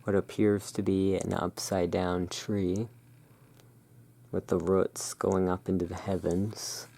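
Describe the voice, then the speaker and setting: An ASMR host in a gothic shopkeeper roleplay, describing pictures in a hushed, unhurried, musing way. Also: low-pitched, flat delivery, vocal fry. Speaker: host of a roleplay as a curiosity emporium owner. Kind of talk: ASMR roleplay, describing tarot card art.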